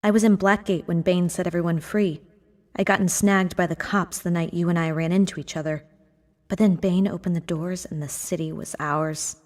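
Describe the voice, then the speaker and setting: A woman's voice: fairly even intonation, moderate pace, a little mellow, natural voice. A woman playing a cynical, self-interested young cat burglar in a video game, cool and sardonic. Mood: wry, dismissive. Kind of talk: video game cutscene acting. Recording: noisy game audio, rumbling background.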